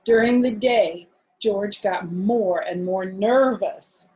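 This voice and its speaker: A woman's voice: medium pitch, slow pace, a little mellow, poor audio. A woman storyteller reading aloud to young children, adding casual explanations and questions as asides.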